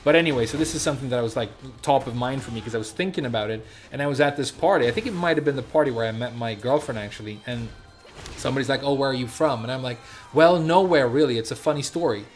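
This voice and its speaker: A man's voice: mid-range voice, fast, faint music behind. A young man from Sweden telling a rambling story on his stream, full of fillers and restarts.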